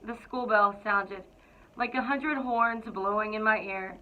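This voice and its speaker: A woman's voice: mid-range voice, unhurried. Woman reading aloud, thin phone-like sound. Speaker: educator reading aloud to school students. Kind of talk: read-aloud of a children's picture book.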